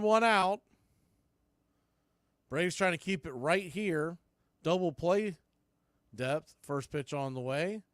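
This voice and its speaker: A man's voice: a little high, dry recording, studio quality. A man doing live radio play-by-play of a baseball game as the announcer, in short clipped phrases. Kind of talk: live radio sports commentary.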